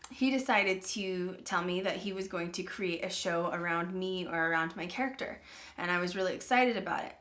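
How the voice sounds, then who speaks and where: A woman's voice: lowish voice, a bit bright. A young adult woman hosting a paranormal channel, talking casually to her viewers, chatty and full of "um" and "like". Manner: chatty, peppered with "um" and "like". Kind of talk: casual vlog talk to viewers.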